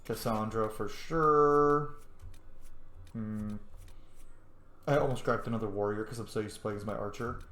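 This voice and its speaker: A man's voice: on the low side, normal speed, long pauses, a bit bright, reverberant. A man hosting a gaming channel, giving informal let's play commentary and thinking aloud with some swearing.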